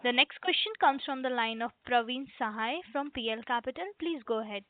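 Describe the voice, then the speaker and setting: A woman's voice: a little high, a little fast. A woman working as a conference call operator, reading scripted announcements to moderate the questions. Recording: dry narrow phone line.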